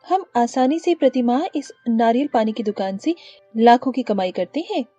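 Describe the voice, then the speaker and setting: A woman's voice: a little high, lively intonation, normal speed, dark tone, faint music behind. A woman voicing a hardworking, affectionate mother-in-law who sells coconut water in a story, proud and motherly, on muffled audio. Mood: proud, earnest.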